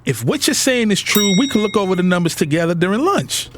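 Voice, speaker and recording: A man's voice: high-pitched, sing-song, brisk, background noise. An actor playing a guarded, commanding businessman with a clipped, businesslike manner in an audio drama. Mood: interested, polite.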